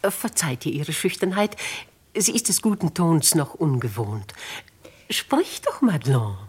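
An actress playing a shrewd, commanding noblewoman in a 1950s German radio play, husky, emphatic and archly courteous. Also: sits low, very animated, a little slow, darkish tone, full character voice. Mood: slyly flattering. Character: shrewd, commanding noble old spinster, mockingly gracious.

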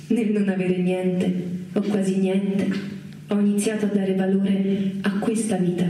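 A woman giving a dramatic literary reading in measured, deliberate phrases with pauses. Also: mid-range voice, mellow. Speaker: performer reading from a book. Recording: roomy, distant mic, echoing space.

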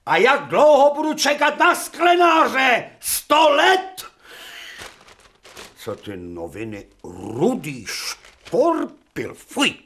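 A brusque imperious professor. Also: male voice, wildly swooping pitch, a bit bright, full character voice. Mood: irritated. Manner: brusque, commanding, swinging pitch widely. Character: imperious male professor, irritable and commanding. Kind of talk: radio drama acting.

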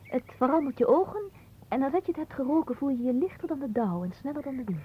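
A woman's voice: sits high, expressive, fast, mellow, a little hiss or hum, low-quality recording. An actress playing a capricious, haughty young woman in a radio play, teasing and theatrical, with a dreamy storytelling way. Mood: coaxing. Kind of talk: Dutch radio play acting.

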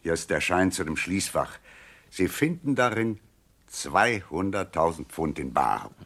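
A courteous, self-satisfied conspirator from a bank in a 1970s radio crime comedy. His voice sits low, and he phrases everything with suave, formal politeness. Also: big pitch swings, measured pace, fairly bright tone, breathy edge, full character voice. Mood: smugly pleased. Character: smug, courteous male banker, crooked conspirator.

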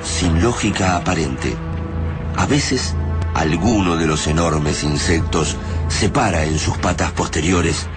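A man's voice: low voice, takes it slowly. A man with an Argentine accent giving solemn, suspenseful scripted narration for a radio drama. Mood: ominous. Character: solemn, suspenseful male storyteller of a science fiction radio play. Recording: narration over prominent music.

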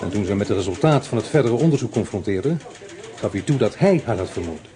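An actor playing a brisk, businesslike middle-aged police inspector who gives clipped orders. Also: male voice, lowish voice, expressive, warm tone, lightly acted. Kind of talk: radio play acting.

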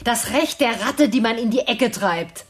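An actress playing an educated, sharp-tongued older woman in a radio drama, sarcastic, condescending and articulate. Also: a little high, lively intonation, unhurried, soft background music. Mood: bitter, provoking. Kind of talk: naturalistic radio drama acting.

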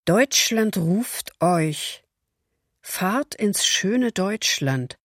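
A woman's voice: medium pitch, animated, no room sound, clean studio sound. A woman playing a coaxing wartime propaganda announcer in a radio docudrama. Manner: slow, drawn-out. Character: coaxing female propaganda announcer recruiting workers.

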